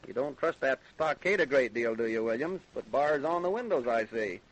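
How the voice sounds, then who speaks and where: An actor playing a skeptical federal investigator in a radio drama, authoritative and probing. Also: male voice, mid-range voice, lively intonation, normal speed, close to an everyday voice, low-quality recording. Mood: stern, skeptical. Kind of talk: old-time radio drama acting.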